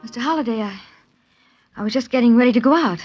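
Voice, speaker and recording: A woman's voice: on the high side, quick pace, a little mellow, breathy edge, soft background music. An actress playing a defiant, desperately protective young woman in a 1940s radio drama, earnest and intense. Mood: evasive. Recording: dull vintage radio broadcast.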